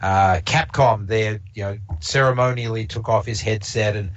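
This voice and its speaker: A man's voice: on the low side, steady tone, normal speed. A man who speaks English as a non-native language, presenting a casual observatory talk and rambling with many fillers and restarts. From Canada. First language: not English. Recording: slightly dull lo-fi stream audio.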